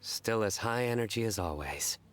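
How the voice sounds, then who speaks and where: A man voicing a low, deadpan stern young man. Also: normal speed, slight background noise, lightly acted. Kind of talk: video game voice acting.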